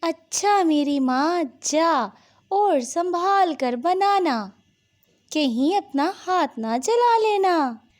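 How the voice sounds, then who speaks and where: A woman acts a gentle mother bird in a cartoon moral tale. Her voice is very high and highly melodic, and she talks slowly in a fussing, protective, motherly way. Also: animated, full character voice. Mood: gentle concern. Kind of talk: Hindi moral-story cartoon dubbing.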